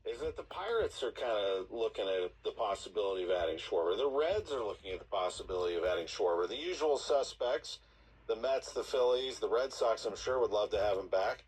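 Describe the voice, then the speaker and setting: Male sports talk voice, lowish and rough, played over a radio. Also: lively intonation, a little fast, recorded from a distance, low-quality recording. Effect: played through a radio speaker.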